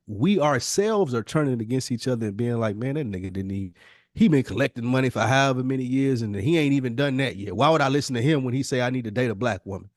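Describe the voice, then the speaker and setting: A man's voice: mid-range voice, a little mellow, no room sound. Guy on a podcast arguing his point, slangy, cusses, repeats stuff for emphasis, dry close sound. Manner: slangy, profane. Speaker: podcast speaker. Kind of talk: opinionated casual conversation.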